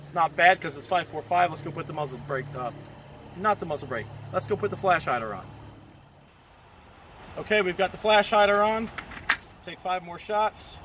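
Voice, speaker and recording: A man talks casually as he sets up and tests rifles, relaxed and thinking out loud. His voice sits fairly high for a man. The outdoor night recording is noisy, with crickets chirping behind him. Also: moderate pace, long pauses. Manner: relaxed, plainspoken, thinking aloud. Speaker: gun reviewer filming a test.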